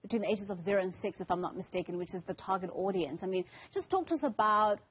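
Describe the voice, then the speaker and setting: A woman with a South African accent asking wordy, measured questions as a news interviewer. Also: mid-range voice, slightly rough, muffled recording.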